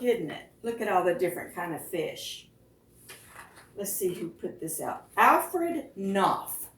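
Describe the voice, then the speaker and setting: A woman reading picture books aloud to preschoolers as a storytime host, with expressive, deliberate phrasing and questions to the children. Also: medium pitch. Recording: roomy, echoing, distant microphone.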